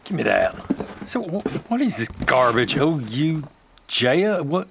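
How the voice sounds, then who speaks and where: A gruff surly Southern husband. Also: male voice, a little high, sing-song, unhurried, background noise, heavily acted. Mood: scornful. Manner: gruff, scornful. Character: James, surly hot-tempered Southern husband. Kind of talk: radio drama acting.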